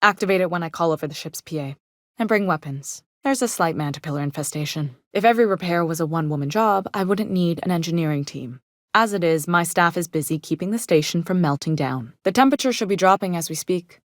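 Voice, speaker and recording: An actress playing a capable, no-nonsense chief engineer and station captain in a video game, with a measured, dry, businesslike manner. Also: female voice, lowish voice, expressive, no room sound, lightly acted. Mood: brisk, businesslike. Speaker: game character voice. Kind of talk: video game character dialogue.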